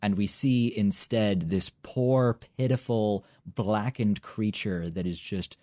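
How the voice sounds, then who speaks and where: Low, dark-toned man with dry wit. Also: slow pace, no room sound. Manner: articulate, dry wit, thoughtful. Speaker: podcast co-host. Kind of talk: casual review chat.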